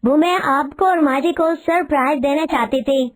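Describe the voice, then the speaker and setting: An eager young wife from a cartoon family story as a woman acts her. The voice is extremely high, with an earnest, polite and dutiful way of talking. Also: normal speed, lightly acted. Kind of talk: cartoon story character acting.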